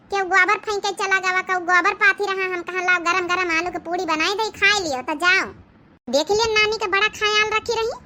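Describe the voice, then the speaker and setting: Woman voicing a squeaky, cheeky young Awadhi sister-in-law. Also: pushed and bright, a little hiss or hum. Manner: teasing, coaxing. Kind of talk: cartoon comedy acting.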